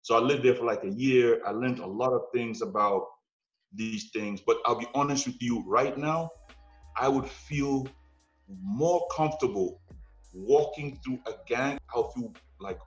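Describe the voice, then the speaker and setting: A man casually telling long personal stories, animated and conversational, often checking in with "you know what I'm saying.". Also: medium pitch, a little slow, faint music behind. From United States. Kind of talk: casual storytelling chat. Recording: roomy, dull lo-fi podcast sound.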